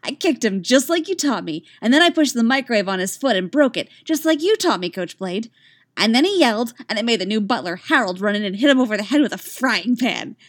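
Woman voicing an excitable, proud, high-pitched little girl. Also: fairly quick, few pauses, full character voice. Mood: excited, proud. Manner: eager, run-on, breathless delivery. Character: excitable proud young girl, breathless and boastful. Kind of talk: story character voice.

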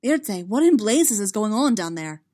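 A woman voicing a commanding female fleet admiral, urgent and sharp with a raised voice. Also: mid-range voice, a little fast, natural voice.

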